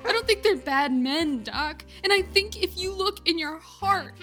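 A high, bright-voiced girl in the middle of an emotional fight, acted out by a woman. She pleads earnestly and tearfully, her voice breaking, in a comic dramatic reading. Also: animated, a little slow, faint music behind, exaggerated voice. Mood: tearful pleading.

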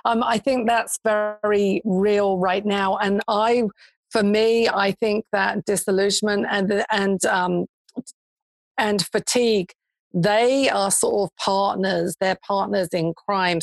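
A woman co-hosting a casual chat over a video call, her voice croaky from smoke, chatty and quick to laugh. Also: mid-range voice, dry recording. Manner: chatty, laughs easily, thinks aloud. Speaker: podcast co-host. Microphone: remote video call.

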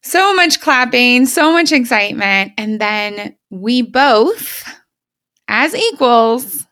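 A woman's voice: fairly high pitch, lively intonation, slow pace, a bit bright, clean tone, dry recording, clean studio sound. A woman hosting a podcast in an enthusiastic, conversational way, gushing and emphatic in informal language. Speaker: podcast host.

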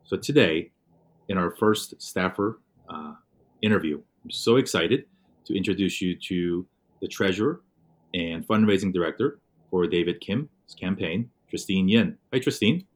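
A man with a very low voice hosts a casual interview. He sounds upbeat and eager as he introduces his guest and asks what brought her to the work. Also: measured pace. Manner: upbeat, eager, leading questions. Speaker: interview host.

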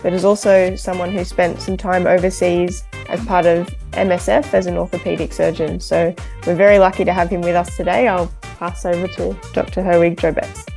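A woman hosts a medical podcast and introduces the next guest with a polite, upbeat, scripted read. Her voice has a creaky edge, and faint music plays underneath. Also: lowish voice, level tone, moderate pace, hardly any pauses, darkish tone. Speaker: podcast host.

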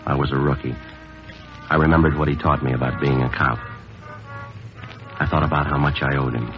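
An actor playing a stoic, grieving police sergeant in an old radio drama, speaking in a terse, understated, deadpan way. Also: male voice, a bass voice, fairly quick. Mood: somber. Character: stoic male police sergeant, grieving his longtime partner. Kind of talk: 1950s radio acting, clipped scripted narration. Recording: muffled old radio broadcast, music behind.

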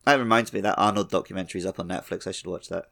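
A man's voice: low-pitched, fairly even intonation, very fast, vocal fry, fairly bright tone. A man hosting a casual gaming podcast chat, jokey and often chuckling as he talks. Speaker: podcast host.

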